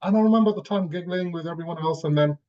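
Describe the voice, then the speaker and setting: Higher-voiced man reflecting, slightly muffled audio. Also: rapid-fire. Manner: hesitant, repeats phrases while thinking. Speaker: panellist. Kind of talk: reflective personal anecdote in a panel discussion. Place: online video call.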